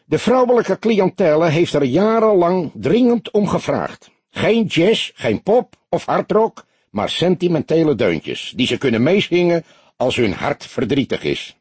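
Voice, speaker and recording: A man voicing a small, slick, jovial Amsterdam bar owner in an audiobook, chirpy and exuberant, quick to take offence. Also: fairly high pitch, very animated, a little mellow, husky, dry recording, voice pushed up, exaggerated voice. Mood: explanatory. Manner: chirping, exuberant, indignant-prone. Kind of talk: audiobook character voice.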